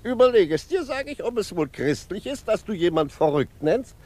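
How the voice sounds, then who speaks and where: Wry, lively old judge. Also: male voice, high voice, normal speed. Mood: mocking. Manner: musing, ironic, chuckling rhetorical questions. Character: wry, rebellious old retired judge, kindly defiant. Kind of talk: 1950s German radio drama acting. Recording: noisy 1950s radio recording.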